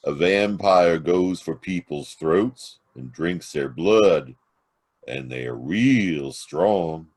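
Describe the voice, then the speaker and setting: A man acts out a sullen farmhand in an old-time radio drama. His voice is very low and he speaks slowly, plain and deliberate. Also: a little mellow, exaggerated voice. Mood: ominous, absorbed. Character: sullen, deliberate young farmhand Hank under questioning.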